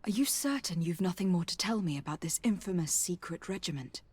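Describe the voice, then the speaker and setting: A woman's voice: lowish voice, expressive, a little fast, a little mellow, slightly rough, no room sound. An actress playing a commanding, courteous noblewoman diplomat in a video game, speaking formally. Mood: pressing. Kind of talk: video game character acting.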